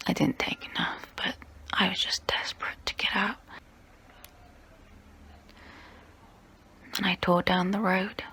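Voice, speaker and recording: Casting: ancient female vampire played by a woman for ASMR role play. Breathy whisper, crisp bright edge, unhurried, proud yet wistful. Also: medium pitch, flat delivery. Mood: pained, subdued. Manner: slow, intimate, close-up, deliberate pauses.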